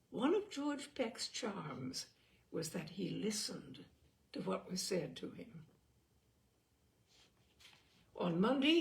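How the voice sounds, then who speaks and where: A woman narrates a novel in a voice of middling pitch with noticeable creak. Her reading is scripted and expressive, in the manner of an audiobook storyteller, with a small stumble here and there. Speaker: audiobook reader.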